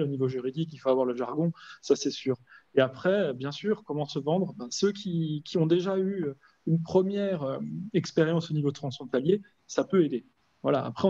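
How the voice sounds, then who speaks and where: A man's voice: on the high side, dark tone, highs cut off. A man on an online panel, talking hesitantly with many fillers and restarts. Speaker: panelist, cross-border employer. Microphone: video call.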